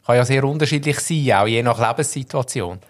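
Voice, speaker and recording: A man's voice: mid-range voice, brisk. A man hosting a travel podcast, asking friendly, long questions. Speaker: podcast host, interviewer. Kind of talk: interview questions.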